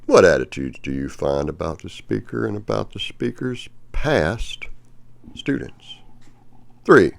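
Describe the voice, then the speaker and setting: An older man reading aloud from a book with brief asides, in a deliberate delivery that swings from emphatic to hushed. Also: fairly low pitch, big pitch swings, gravelly. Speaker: reader of a poetry textbook.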